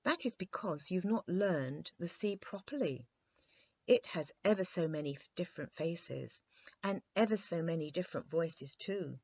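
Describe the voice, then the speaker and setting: A woman's voice: fairly low pitch, lively intonation, unhurried, a little mellow, slightly rough, dull audio, heavily acted. A woman voicing a spirited, frank, cheerful little girl in a children's storybook reading. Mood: merry.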